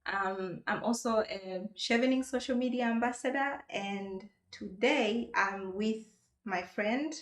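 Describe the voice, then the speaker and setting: A woman's voice: fairly high pitch, slow pace. Young woman host from Tanzania, upbeat, casual, roomy echo. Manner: upbeat, laughs often. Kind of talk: casual interview chat.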